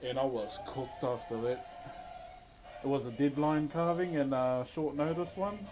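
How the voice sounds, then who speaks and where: A man with a New Zealand accent talks in a laid-back, slangy way and chuckles now and then. His voice sits at an ordinary pitch. Music plays clearly behind him. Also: measured pace, rough recording. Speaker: wood carver streaming live. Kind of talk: casual chat with live viewers. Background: background music.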